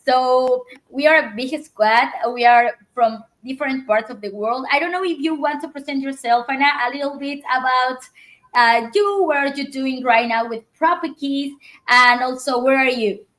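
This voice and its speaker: Host, female, fairly high voice, casual chat with restarts. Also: fairly bright tone. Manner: halting, many restarts and fillers, laughs often. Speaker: livestream host.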